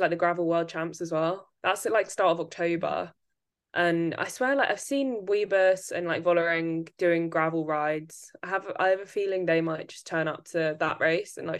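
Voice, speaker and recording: A woman with a slightly low voice hosts a relaxed podcast conversation. She talks in an animated, rambling way, stacking up fillers and restarts as she builds her point. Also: moderate pace. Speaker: podcast host. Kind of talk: casual chat.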